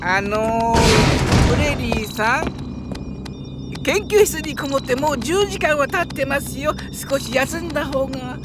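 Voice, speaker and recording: A woman voicing a gentle, earnest male engineer with very polite, formal speech in a Japanese game drama. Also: high-pitched, animated, measured pace, very noisy. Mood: worried. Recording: music behind the voice.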